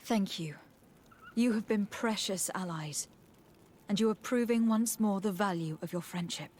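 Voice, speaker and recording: An actress playing a noble, principled young diplomat in a video game, speaking in a poised, formal and articulate way. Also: female voice, medium pitch, a little slow, a little mellow, close to an everyday voice. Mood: resolute, grateful.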